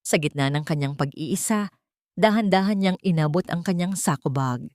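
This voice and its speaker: A woman narrating a drama story in a slow, somber, suspenseful scripted manner. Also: fairly low pitch, darkish tone, dry recording, studio quality.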